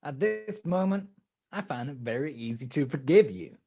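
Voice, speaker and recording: An actor playing an urbane, mocking villain in a radio detective play, smug and drawling with elaborate politeness. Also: male voice, fairly high pitch, expressive, moderate pace, warm tone. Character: suave gloating male villain, urbane and mocking. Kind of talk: old-time radio detective acting. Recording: dull, lo-fi video call recording.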